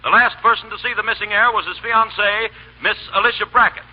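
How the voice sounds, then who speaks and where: An actor playing an official radio news announcer, formal, emphatic and urgent, in 1930s bulletin style. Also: male voice, sits high, fairly even intonation, quick pace, slightly rough, lo-fi sound. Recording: band-limited 1930s radio broadcast.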